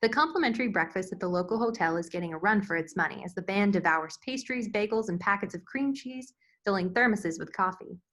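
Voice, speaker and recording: A woman's voice: medium pitch, fairly quick, a bit bright. A woman reading screen directions as the narrator, in scripted narration style.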